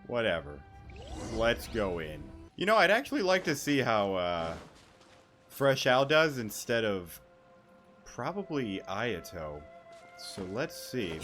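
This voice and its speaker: A man's voice: mid-range voice, sing-song, slow pace, fairly bright tone. A man doing casual, irreverent gameplay commentary as a streamer, reacting aloud to the game. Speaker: gaming streamer. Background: game music.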